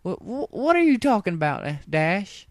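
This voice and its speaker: A man's voice: high voice, sing-song, normal speed, no room sound, clean studio sound, pitched above the performer's own voice. A man voicing a folksy, flustered farm mare in a storybook reading, exasperated and prone to stammering.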